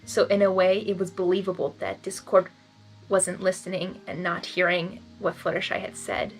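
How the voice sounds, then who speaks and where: A woman who hosts fan fiction readings, moving between expressive story narration and emotional, casual reaction. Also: on the low side, moderate pace, faint music behind, poor audio. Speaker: fan fiction reader and channel host.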